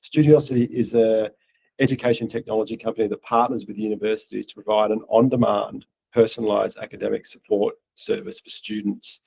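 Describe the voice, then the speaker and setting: Gravelly man hosting. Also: lowish voice, little pitch movement, brisk, mellow, rough recording. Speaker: interview host, portfolio manager. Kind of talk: scripted intro, then interview questions.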